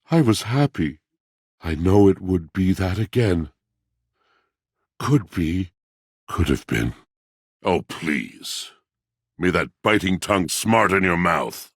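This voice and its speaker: An actor playing a brooding, melancholy, self-pitying machine in a video game, speaking florid, poetic lines in a grand theatrical manner with a gravelly voice. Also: male voice, low-pitched, dry recording, clean studio sound, heavily acted. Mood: indignant, dawning. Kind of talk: video game character acting.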